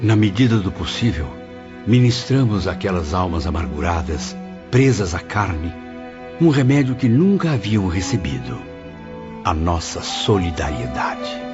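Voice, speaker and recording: A man with a Brazilian accent playing an earnest, remorseful spirit in a dramatized audiobook, speaking in solemn, formal, measured diction. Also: deep voice, big pitch swings. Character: earnest, remorseful male spirit recounting his mission of consolation. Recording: dull muffled audio under loud music.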